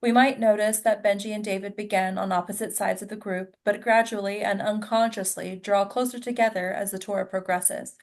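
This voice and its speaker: A woman's voice: medium pitch, steady tone, moderate pace, hardly any pauses. A woman narrating stage directions at a table read in a plain, informal way.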